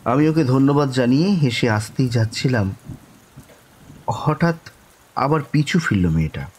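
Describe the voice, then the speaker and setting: A male narrator with a mid-pitched voice tells a ghost story in the first person. He is dramatic and suspenseful, pausing between short phrases, with faint ambience behind him. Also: expressive, a little mellow, slight background noise, poor audio. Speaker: narrator of a horror audio story.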